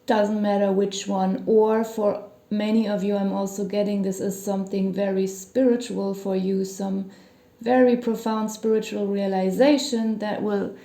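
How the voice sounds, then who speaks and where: Slightly hoarse woman reading tarot casually. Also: mid-range voice, little pitch movement, measured pace, dark tone. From Germany. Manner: meandering, hedging, many "you know" fillers. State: losing her voice, slightly hoarse. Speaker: tarot reader. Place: home near a hospital, sirens outside.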